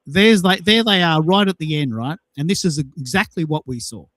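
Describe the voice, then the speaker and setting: A man's voice: on the high side, moderate pace, fairly bright tone, no room sound. A man hosting a casual audio chat, telling personal anecdotes in an emphatic way and repeating himself for effect. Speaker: host of a social audio show.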